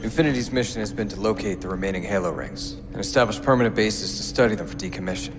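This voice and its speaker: A man's voice: on the low side, expressive, fast, big-room sound, music in the background, close to an everyday voice. A man playing an earnest, friendly naval officer in a video game cutscene.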